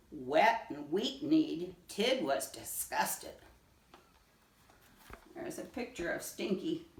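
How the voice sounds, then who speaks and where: Animated woman reading a storybook, roomy sound. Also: mid-range voice, gravelly. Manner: pauses to point out pictures. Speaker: children's read-aloud reader. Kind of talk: expressive storybook narration. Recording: roomy echo, distant microphone.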